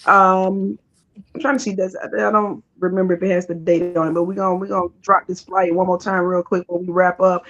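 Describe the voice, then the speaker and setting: A woman's voice: on the low side, little pitch movement, a little fast, a bit bright, dull audio. A woman hosting a casual livestream interview, talking with folksy, sassy banter. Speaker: show host interviewing guests.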